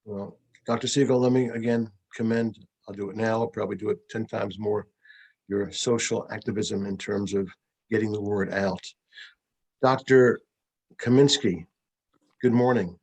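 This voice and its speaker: Man hosting an interview, gravelly, husky, low voice, effusive. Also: steady tone, moderate pace, darkish tone, poor audio. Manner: effusive, repeated compliments. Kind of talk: video call interview.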